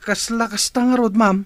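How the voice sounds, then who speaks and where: A man's voice: sits high, fairly bright tone, no echo, heavily acted. A man acting a good-natured, respectful household driver in a radio drama. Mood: teasing, flustered.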